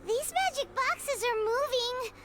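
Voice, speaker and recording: A woman voicing a tiny, excitable childlike fairy companion in a video game, squeaky, eager and curious. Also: slow pace, very noisy, exaggerated voice.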